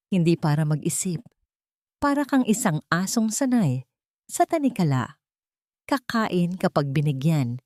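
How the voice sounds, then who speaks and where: A woman's voice: low voice, a little mellow, dry recording, clean studio sound. Woman playing a menacing, haughty old mansion mistress. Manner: cold, slow, threatening, condescending.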